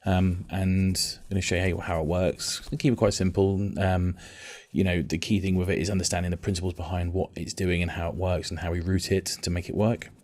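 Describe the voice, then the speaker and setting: A man teaching music technology in an informal software tutorial, explaining step by step and restating key points as he goes. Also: low voice, level tone, brisk.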